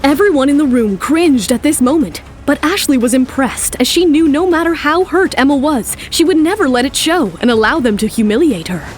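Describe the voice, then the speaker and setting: A woman's voice: high voice, animated, brisk, hardly any pauses, slight background noise. A woman narrator giving a scripted, dramatic telling of a story.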